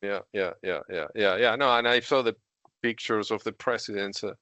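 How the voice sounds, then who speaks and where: A man's voice: lowish voice, normal speed, pushed and bright, no echo. A middle-aged man from Argentina, a podcast guest who tells stories in casual chat and laughs often. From Argentina, Patagonia. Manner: laughs often while telling stories, many fillers.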